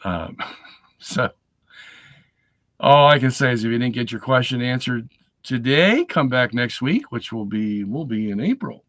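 A middle-aged man hosting a live stream from his home study, chatting casually and checking in with "okay?", hoarse from a lingering cold. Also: medium pitch, expressive, a little slow, pauses often, a bit bright, dry recording. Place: home study lined with bookshelves.

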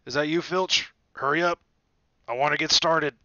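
A man voicing a big, fierce male groundskeeper with a booming, rustic way of talking. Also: moderate pace, pushed and bright, no echo, muffled recording. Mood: gruff, stern. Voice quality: booming, broad rustic dialect.

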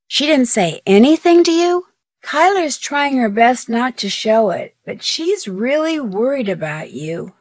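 A friendly, inquisitive young American woman detective in an adventure game. Her voice sits a little high and is recorded dry, with no room around it. She delivers scripted dialogue in an earnest, conversational way with clear diction. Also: dry recording. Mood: curious. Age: young adult. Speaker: player character of a detective game.

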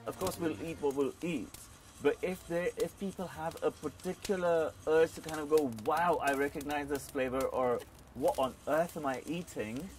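A man's voice: a little high, moderate pace, faint music behind. Man hosting in an echoey hall. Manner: relaxed, hesitant with ums. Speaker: workshop host. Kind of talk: casual welcome to a group. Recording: roomy hall echo.

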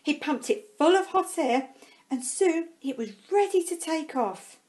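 A woman with a high voice reads a storybook aloud for young children. Her delivery is slow and expressive. She stretches key words and leaves dramatic pauses between phrases. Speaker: primary school staff member reading a storybook.

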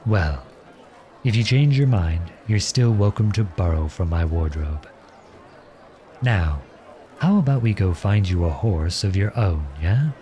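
Man playing a low-voiced, mellow outlaw prince. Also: animated, unhurried, slight background noise. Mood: warm, attentive. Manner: courtly, playful, tender. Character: charming, gentle, teasing outlawed young prince. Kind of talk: ASMR roleplay acting addressed to the listener.

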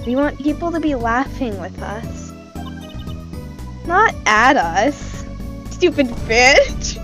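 A woman's voice: high voice, sing-song, takes it slowly, over music, heavily acted. A woman voicing a breezy, bossy teenage girl stage magician in a comedic game fandub, chirpy and teasing. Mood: teasing, smug.

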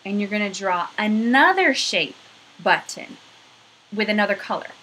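A woman's voice: mid-range voice, lively intonation, normal speed, pushed and bright, breathy edge, rough recording. A woman in her thirties leading a craft activity for young children, talking to them directly in an encouraging way, recorded on a webcam at home. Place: small furnished room at home, indoors.

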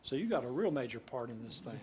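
A man's voice: mid-range voice, big pitch swings, fast, a crowd in the background. An older man, speaking at a public ceremony in a folksy way as the presiding official. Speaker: official presenting a promotion and administering an oath. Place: large indoor council chamber with audience. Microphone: stand microphone, sometimes stepping away.

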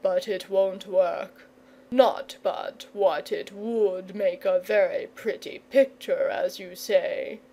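A woman's voice: mid-range voice, fairly even intonation, slow, dark tone, pitched below the performer's own voice. A woman voicing a languid, coy, fussy male dragon in a storybook, with a leisurely drawl that can turn testy. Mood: wavering, wry. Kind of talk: storybook character reading.

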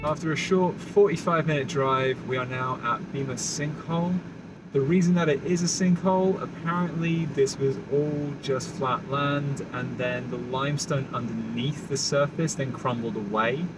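Man, 30s, upbeat travel vlogger talking to the camera in a car over heavy road noise, mid pitch. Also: steady tone, moderate pace, distant mic. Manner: enthusiastic, upbeat commentary. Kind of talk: casual travel vlog chat. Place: inside a car, then outdoors.

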